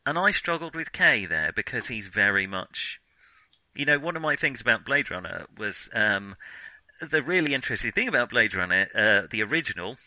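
A man co-hosting a film podcast, thinking aloud in a halting, digressive way as he casually reviews what he has watched. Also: on the low side, big pitch swings, sharp tone, poor audio.

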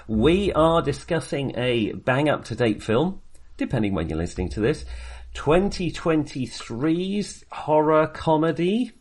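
A man co-hosting a film podcast in casual discussion, speaking in a halting, wry and self-deprecating way. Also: a little high, very animated.

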